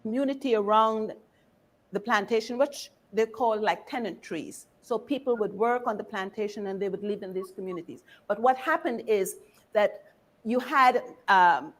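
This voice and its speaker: A woman from Barbados giving an informal history lecture in a deliberate, engaging way, putting questions to her listeners. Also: a little high.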